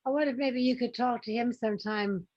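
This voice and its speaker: A woman's voice: fairly high pitch, quick pace, a little mellow. A woman facilitating a reflective spiritual group talk on a video call, speaking in an encouraging way. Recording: slightly dull call audio.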